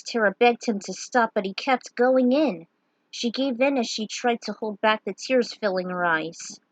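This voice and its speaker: A woman's voice: fairly high pitch, dry recording, poor audio. A woman reading a scripted fantasy adventure story aloud as a dramatic narrator. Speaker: storyteller reading fan fiction.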